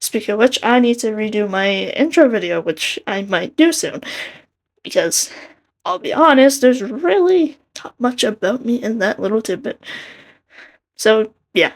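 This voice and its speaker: Slow, quiet-voiced young woman. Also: fairly high pitch, expressive. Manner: soft-spoken, earnest, laughs between thoughts. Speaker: art commentary video maker. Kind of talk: casual commentary over a speedpaint.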